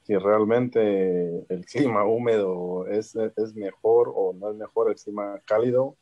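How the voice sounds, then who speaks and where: A man's voice: on the low side, fairly even intonation, takes it slowly, highs cut off. A man from Guatemala asking a doctor a question on a video call, polite and hesitant, with many fillers.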